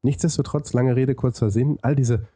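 A man's voice: medium pitch, steady tone, moderate pace, warm tone, slightly rough, dry recording. A man with a lisp telling his story as a podcast interview guest, explaining point by point with frequent hesitations.

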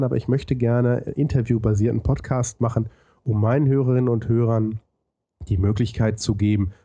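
A man's voice: medium pitch, warm tone, husky, no room sound, muffled recording. A man with a lisp telling his story as a podcast interview guest, explaining point by point with frequent hesitations.

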